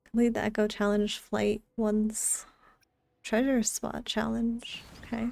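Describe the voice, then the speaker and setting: A woman's voice: a little high, little pitch movement, slow pace, vocal fry, darkish tone, no room sound. A woman chatting casually as she streams a video game, talking close to the microphone. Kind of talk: casual livestream chat and commentary.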